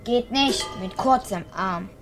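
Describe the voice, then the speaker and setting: A performer playing a stubborn young refugee boy in a radio drama, speaking halting, broken learner's German. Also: mid-range voice, soft background music, lightly acted. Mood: sullen.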